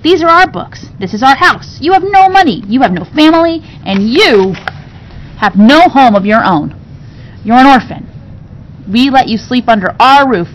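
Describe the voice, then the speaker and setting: A woman's voice: lively intonation, moderate pace. A woman reading a storybook, voicing a spoiled, cruel rich boy who sneers and gives orders. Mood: domineering, scornful. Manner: sneering, commanding, indignant. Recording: dull recording with low rumbling noise.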